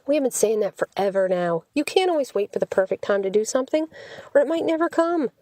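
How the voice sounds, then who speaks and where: A woman's voice: on the high side, expressive, fast, warm tone, dry recording, lightly acted. Woman reading as an earnest, devoted young man. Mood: pleading, eager.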